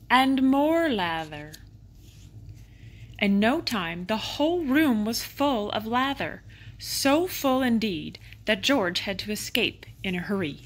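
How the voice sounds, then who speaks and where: Female storybook reader, mid-range pitch, expressive bedtime narration for children, dramatic emphasis on key words.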